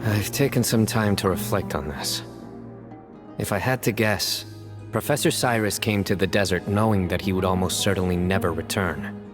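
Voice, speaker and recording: A man voicing a deep, stern desert guardian. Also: moderate pace, music in the background, natural voice. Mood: serious. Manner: low, terse and serious. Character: stern young male desert guardian, reserved. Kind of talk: video game voice acting.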